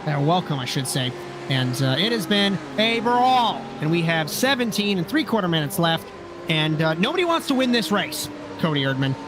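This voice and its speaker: A man with a raspy voice from a sinus infection, commentating a sim race in a relaxed, chatty way that often corrects itself. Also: fairly high pitch, normal speed, background noise. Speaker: lead commentator. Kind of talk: sim racing play-by-play commentary.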